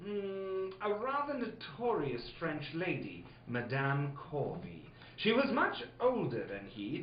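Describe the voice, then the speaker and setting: A man's voice: on the high side, recorded from a distance, a little hiss or hum. A man playing a genial, fussy elderly doctor telling a tale in an old-time radio play, easily exasperated. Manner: theatrical, fussy, drawn-out storytelling. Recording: roomy, muffled studio, off the mic.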